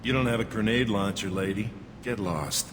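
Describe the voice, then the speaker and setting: An actor playing a gruff, cynical alien checkpoint guard in a game, talking in a dry, curt and dismissive way. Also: male voice, lowish voice, moderate pace, lightly acted. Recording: reverberant, with loud background noise.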